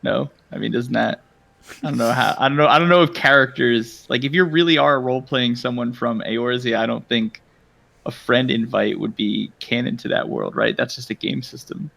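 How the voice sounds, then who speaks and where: A man's voice: medium pitch, moderate pace, vocal fry, a bit bright. A man playing a tabletop game, talking in a dry, skeptical way. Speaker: player. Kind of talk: casual table talk.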